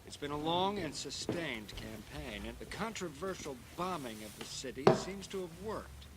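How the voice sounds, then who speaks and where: A man's voice: on the high side, lively intonation, moderate pace, close to an everyday voice. A man playing an official state newsreader in an audio drama, reading in a formal, authoritative manner. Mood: assured. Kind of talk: news bulletin in an audio drama. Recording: very noisy broadcast sound.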